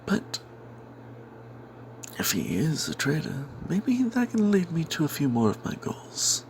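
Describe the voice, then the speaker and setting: A man narrating a fantasy role-playing tale in first person as ASMR, in a breathy, secretive whisper, rambling wryly as if not to be overheard. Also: on the high side, wildly swooping pitch, a little slow, a little hiss or hum. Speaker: storyteller narrating a tabletop game tale.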